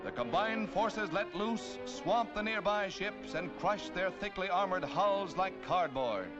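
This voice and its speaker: A dull, band-limited vintage newsreel recording with loud music under the voice. An American man narrates in a high-pitched, declamatory voice. His delivery is scripted and dramatic, in the 1940s newsreel style. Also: measured pace.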